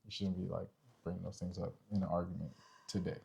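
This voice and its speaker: A man's voice: sits low, little pitch movement, quick pace, vocal fry, warm tone, slight background noise. A man co-hosting a casual discussion about relationships, talking in an informal, slangy way peppered with filler phrases like "you know". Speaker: podcast co-host.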